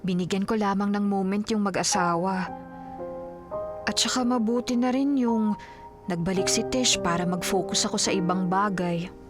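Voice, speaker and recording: A woman playing a selfless, lovelorn young woman in a radio drama, speaking gently, hesitantly and politely. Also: mid-range voice, normal speed, natural voice. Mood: resigned, wistful. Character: lovelorn young woman, selfless, hurt, putting on brave face. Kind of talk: Filipino radio drama acting. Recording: music bed under the dialogue. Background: music.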